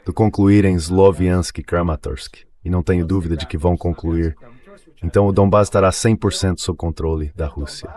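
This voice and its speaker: A low, deep-set male voice, AI-generated as a dub, delivers political analysis as an interview guest. He is assertive and restates his points for emphasis. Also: fairly even intonation, moderate pace, a little mellow. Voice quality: AI-generated dubbed voice.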